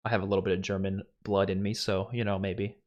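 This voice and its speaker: Young male streamer, light New York accent, casual and animated with plenty of swearing, close boom mic in a small room. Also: fast, dull audio. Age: young adult. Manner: animated, swearing, laughs a lot. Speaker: streamer host. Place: small home room, indoors. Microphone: studio mic on boom, headphones.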